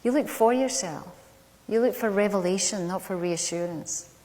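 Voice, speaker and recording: A woman's voice: mid-range voice, moderate pace, warm tone. Middle-aged Glaswegian woman speaking in an echoey hall. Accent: Glaswegian Scottish. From Glasgow, Scotland. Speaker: public speaker. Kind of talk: prepared stage talk, storytelling to an audience. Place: large indoor stage venue. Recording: echoey hall, long reverb.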